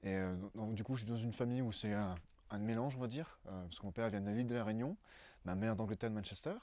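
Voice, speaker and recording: A man's voice: on the low side, quick pace, warm tone. A man in his twenties from Brussels giving a casual interview testimony, hesitant and often searching for words. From Brussels, Belgium.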